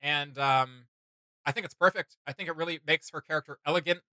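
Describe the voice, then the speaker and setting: Male reaction co-host, somewhat high and strikingly bright voice. Casual, rambling, enthusiastic fan chat. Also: brisk, no room sound.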